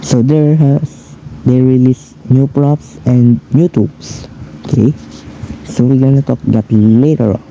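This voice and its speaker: A man with a hoarse, raw voice giving casual, rambling commentary over gameplay for his channel. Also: medium pitch, fairly even intonation, slow, dark tone, a little hiss or hum, poor audio. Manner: chatty, rambling, addresses viewers as guys. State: hoarse, low voice from a raw throat. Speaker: gaming YouTuber.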